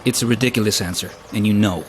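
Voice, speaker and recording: An actor playing an earnest, proper young newlywed husband in an audio drama, mild and natural in manner. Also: male voice, on the low side, fast, close to an everyday voice. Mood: chiding. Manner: mild, prim, gently teasing. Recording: faint vehicle noise.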